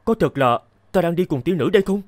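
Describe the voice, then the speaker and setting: An actor playing a naive, smitten young scholar in an animated fairy tale, earnest and theatrical. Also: male voice, fairly high pitch, fast talker, dry recording, studio quality, close to an everyday voice. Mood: lovestruck.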